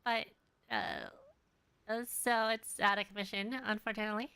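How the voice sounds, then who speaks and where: A woman's voice: mid-range voice, little pitch movement, moderate pace, sharp tone, clear, resonant tone, no echo. A young woman streaming a game, chatting casually and hesitantly with many fillers. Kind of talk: casual live-stream chat. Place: home streaming setup.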